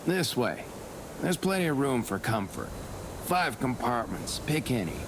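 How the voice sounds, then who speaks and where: A practical period gentleman from a visual novel, talks plainly and in a businesslike way. His mid-pitched voice comes with clear background noise. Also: sing-song, a little slow.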